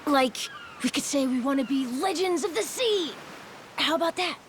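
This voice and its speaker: High-pitched eager young pirate. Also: female voice, moderate pace, a little hiss or hum. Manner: excitable, earnest. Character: eager, bubbly young would-be pirate, excitable and idealistic. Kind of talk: video game cutscene acting.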